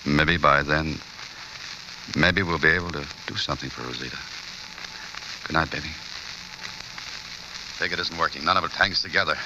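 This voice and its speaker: A man's voice: a bass voice, moderate pace, pauses often. A man acting a terse, brooding American newspaperman in an old radio drama, wry and pressing in his questions. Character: hard-boiled, brooding newspaperman investigating a young woman's death. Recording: noisy old radio recording, steady hiss.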